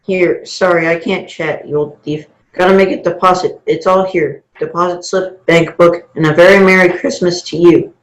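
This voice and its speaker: A man's voice: sits high, steady tone, normal speed, hardly any pauses, lightly acted. A man playing an absent-minded, flustered old uncle in a radio drama, with a fretful, dithering manner. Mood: gleeful. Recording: roomy, dull lo-fi sound.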